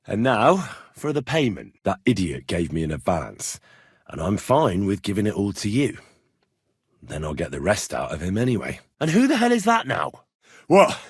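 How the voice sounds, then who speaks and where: Young medieval adventurer for a game: blunt and earthy, quick to threaten, husky voice, very mobile pitch, dry studio sound. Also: male voice, dry recording, heavily acted. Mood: bargaining, wry, then irritated, confrontational. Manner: blunt, earthy, sweary, conversational. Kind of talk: video game dialogue acting.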